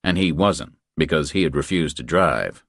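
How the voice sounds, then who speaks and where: A man's voice: very deep voice, moderate pace, fairly bright tone, no echo, studio quality. A man reading a thriller audiobook as scripted narration.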